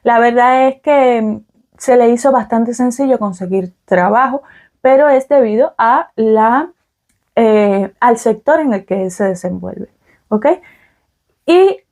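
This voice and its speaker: A woman's voice: a little high, a little mellow. A young adult woman from Cuba with a Cuban Spanish accent, talking casually to camera in a vlog, explaining with fillers and "ok?" check-ins. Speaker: vlogger.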